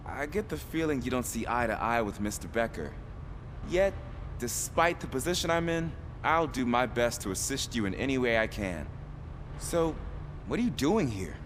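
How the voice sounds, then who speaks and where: A man's voice: mid-range voice, background noise, lightly acted. An actor playing a young, nervous, eager rookie policeman in a video game, hesitant and pleading. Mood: eager, polite.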